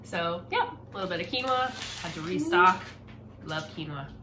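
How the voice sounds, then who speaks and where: Woman, 30s, slightly low voice, casual family vlog host; echoey room, noisy background. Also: lively intonation, moderate pace. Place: indoor room at home.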